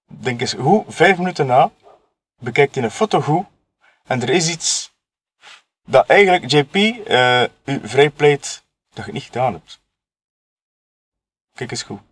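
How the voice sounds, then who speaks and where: A man with a Flemish accent playing a chatty, self-excusing witness in a murder-mystery audio drama, talking colloquially and haltingly. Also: medium pitch, animated, fairly bright tone, slightly rough. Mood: explaining, insinuating. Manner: colloquial, halting, chuckling between phrases. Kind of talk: improvised-sounding audio drama dialogue.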